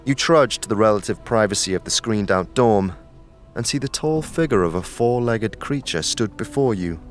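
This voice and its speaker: A man's voice: fairly low pitch, lively intonation, moderate pace. A man reading scripted dramatic narration for an audio story. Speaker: narrator and game master. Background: clear music.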